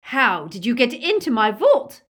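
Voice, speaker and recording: A woman's voice: a little high, sing-song, unhurried, fairly bright tone, pitched above the performer's own voice. A woman voicing a cruel, deranged witch in an audiobook, screaming and screeching as she interrogates. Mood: enraged, suspicious.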